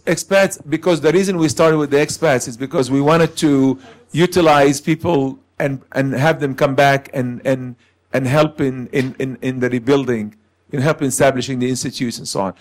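A man's voice: medium pitch, moderate pace. A man explaining the aims of a network he helped start, in a repetitive, explanatory way. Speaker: founder of the network. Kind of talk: group discussion.

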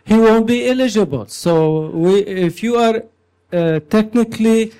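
Man in a group meeting, high-pitched, mellow tone, halting and earnest delivery. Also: clear, resonant tone. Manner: halting, filler-heavy, earnest. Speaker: meeting participant. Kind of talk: group discussion.

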